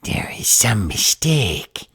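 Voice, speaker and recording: A man playing a scheming, indignant gremlin villain in a fantasy audio drama, with a raspy, breathy half-whisper. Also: fairly low pitch, very animated, takes it slowly, a bit bright, dry recording, studio quality, heavily acted.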